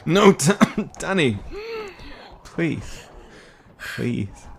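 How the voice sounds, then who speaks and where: Man acting dying infected survivor, weak, slow, struggling words, imploring, protective, audio drama. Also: on the high side, big pitch swings, creaky, heavily acted. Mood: desperate pleading. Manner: halting, coughing, pleading.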